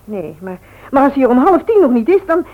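A fretful, reproachful mother in a radio drama. Her voice is fairly high and swings up and down as she frets and chides, heard through a narrow, phone-like old recording. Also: sing-song, fairly quick. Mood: worried. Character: worried, scolding middle-aged mother of a teenage son. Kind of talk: Dutch radio play acting.

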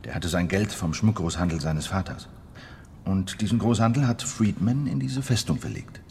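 A man's voice: sits low, moderate pace, creaky, darkish tone, slight background noise. A man playing a confident criminal planning a heist in a radio crime drama, explaining in an assured, matter-of-fact way.

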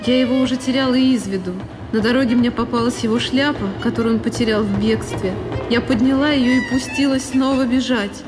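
A woman's voice: a little high, fairly even intonation, fairly quick, hardly any pauses, rough recording. A woman giving an emotive, theatrical first-person narration of a literary drama. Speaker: solo performer, narrator. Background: music.

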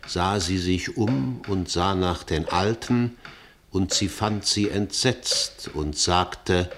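An actor playing the solemn narrator of an ancient tragedy in a radio play, reciting verse in a measured, epic manner. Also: male voice, deep voice, noisy background. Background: music.

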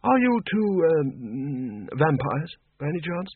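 A man's voice: on the high side, sing-song, takes it slowly, slightly rough, dry recording, narrow, tinny audio, voice pushed up, exaggerated voice. A man voicing a polite, puzzled male newcomer who asks hesitant, tactful questions in an audiobook story. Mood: hesitant, curious.

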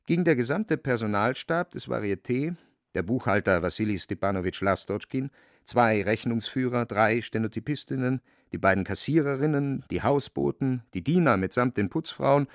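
A man's voice: medium pitch, creaky, no echo, clean studio sound. A man narrating a literary radio drama in a wry, dramatic storytelling manner.

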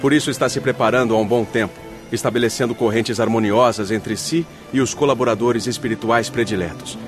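A man acts a learned, composed male spirit instructor in a radio drama. His medium-pitched voice is formal and authoritative yet courteous. Faint music runs beneath. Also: fairly even intonation, a little fast, lightly acted. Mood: solemn explaining.

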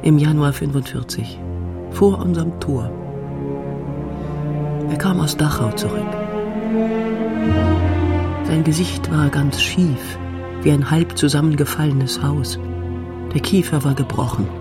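An actress playing a stoic old grandmother recalling wartime survival in measured, plain statements in a radio drama. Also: deep voice, loud music behind the voice. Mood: grave, matter-of-fact.

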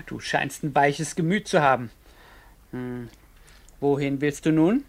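An actor playing a cocky young streetwise pickpocket with a husky, teasing voice in a 1950s German radio drama. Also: male voice, on the high side, lively intonation, slow, full character voice. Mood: breezy, coaxing. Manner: jaunty, teasing, chuckling.

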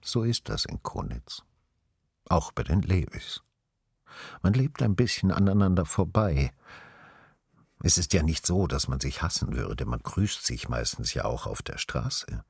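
An actor playing a solemn, ironic narrator of a radio drama, speaking in a deliberate theatrical way. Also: male voice, extremely low pitch, big pitch swings, vocal fry, darkish tone, breathy edge, no room sound, clean studio sound, heavily acted. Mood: dryly ironic. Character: wry, solemn storyteller of the good old days. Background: music.

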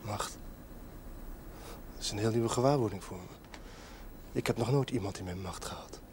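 A man acting a bitter young outcast in a 1970s television drama. His voice sits low, and he speaks slowly, with brooding, theatrical menace and lovesick taunting. The old soundtrack carries a faint noise. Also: darkish tone, close to an everyday voice. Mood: menacing longing.

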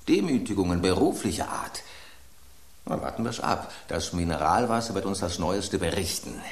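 A man's voice: low-pitched, creaky, echoey room, full character voice. An actor voicing an aging male loaf of bread, an urbane, ironic epicure, in a radio play. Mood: teasing.

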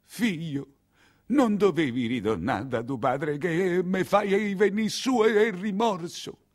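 Casting: frail, sentimental old general, Roman accent, stumbling over words, slow and swooping, radio play. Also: male voice, high-pitched, lively intonation, pitched above the performer's own voice, full character voice. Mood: pleading, mournful. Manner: halting, stammering, repeating himself. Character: doddering, sorrowful hundred-year-old general, a sentimental father. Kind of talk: theatrical radio monologue acting.

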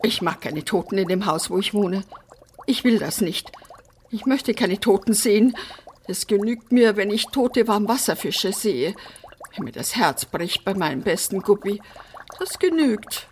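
An actress playing a brash, eccentric apartment resident in a radio drama, theatrical, flippant and defiant. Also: female voice, mid-range voice, expressive, measured pace, slight background noise, natural voice. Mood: agitated. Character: brash, eccentric, bossy female apartment resident opening others' letters. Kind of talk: German radio drama acting.

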